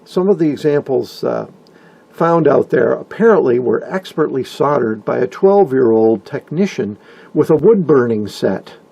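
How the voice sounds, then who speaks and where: An older man narrating informally from a script, with a husky, gravelly voice and wry, folksy asides. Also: mid-range voice, expressive, moderate pace, mellow, muffled recording. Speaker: hobbyist video narrator. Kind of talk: informal scripted narration about vintage radios.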